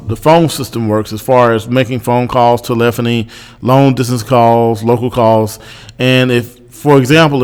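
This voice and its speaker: American man commenting in long hall-like reverb. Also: mid-range voice. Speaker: host giving consumer commentary. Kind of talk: unscripted opinion commentary.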